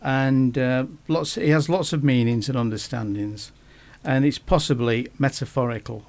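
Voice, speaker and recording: Man, gravelly, mid pitch, halting, restarts a lot, casual chat. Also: darkish tone. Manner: halting, many restarts and fillers. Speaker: guest. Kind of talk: casual podcast conversation.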